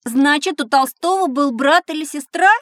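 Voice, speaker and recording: A woman's voice: sits high, moderate pace, a bit bright, no room sound, studio quality. A woman playing a curious, naive young boy in a children's audio drama, asking questions eagerly.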